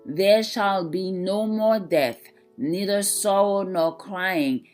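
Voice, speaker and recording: A woman reading a scripted religious devotional in a measured, reverent manner. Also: mid-range voice, a little mellow, soft background music. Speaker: devotional reader.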